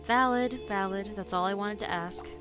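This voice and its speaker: A woman's voice: mid-range voice, moderate pace. A woman streamer giving casual reaction commentary on a game, thinking aloud informally. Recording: loud game music behind.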